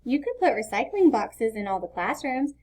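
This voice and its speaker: A woman's voice: fairly high pitch, sing-song, normal speed. A woman reading the part of a helpful, instructive male schoolteacher in a children's storybook. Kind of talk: children's storybook read-aloud.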